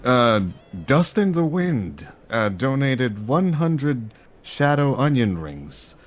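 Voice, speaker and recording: A man playing a theatrical masked jackal villain, co-hosting a livestream in wry, halting banter. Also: medium pitch, very animated. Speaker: livestream co-host. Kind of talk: in-character improvised livestream banter. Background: background music.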